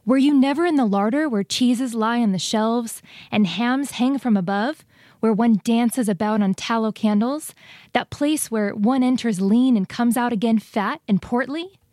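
A woman's voice: fairly high pitch, measured pace, a little mellow, dry recording, studio quality, lightly acted. A woman voicing a tiny, eager, inquisitive mouse in a children's storybook, full of wonder. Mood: curious. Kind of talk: dramatized children's storybook reading.

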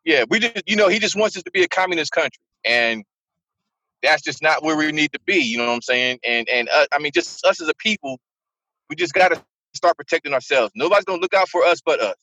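A man's voice: fairly high pitch, lively intonation, quick pace, bright, forceful tone, husky, dry recording, low-quality recording. A man on a podcast, talking fervently and opinionated in casual chat.

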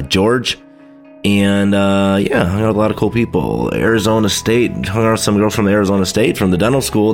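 Stuffy deep-voiced man chatting casually. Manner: chatty, loose, lots of fillers. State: stuffy from a head cold. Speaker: podcast host. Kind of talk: casual solo podcast talk.

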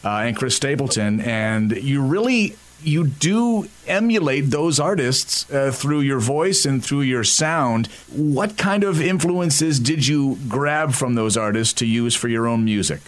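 A man's voice: medium pitch, lively intonation, unhurried, few pauses. A man from French Canada hosting an interview show, asking long, rambling questions with many fillers. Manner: rambling, filler-heavy phrasing.

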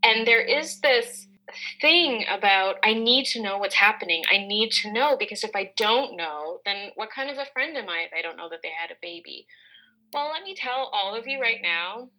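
A woman's voice: medium pitch, lively intonation, moderate pace, sharp tone, rough recording. A woman co-hosting a reflective, conversational talk, speaking candidly and explaining things with plain, relatable examples. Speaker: co-host.

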